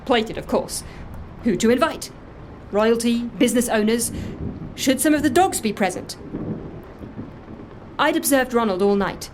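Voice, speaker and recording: A woman's voice: a little high, measured pace, pauses often, a little mellow, slight background noise, close to an everyday voice. An actress playing an anxious, self-justifying woman in an audio drama, telling her story in a fussy, emphatic, defensive way. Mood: harried, defensive. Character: anxious, self-justifying woman confessing impersonation, earnest dog lover. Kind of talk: audio drama dialogue, first-person confession.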